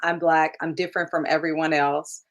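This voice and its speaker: A woman's voice: low voice, flat delivery, fairly quick, highs cut off. A woman in her thirties from Germany, raised speaking German, telling her life story as a guest in a casual interview, candid, with frequent laughs and fillers.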